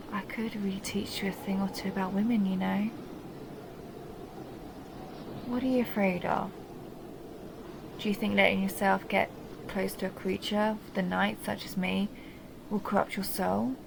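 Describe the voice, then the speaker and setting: A woman's voice: medium pitch, vocal fry, noisy background. A woman playing a seductive, possessive vampire countess who teases and condescends in an intimate audio roleplay monologue. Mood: seductive, taunting. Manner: teasing, mocking, intimate purring address.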